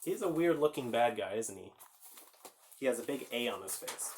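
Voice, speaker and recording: A man's voice: mid-range voice, animated, normal speed, slight background noise. A man hosting a children's story time, reading aloud and chatting informally, explaining things and putting questions to the listeners.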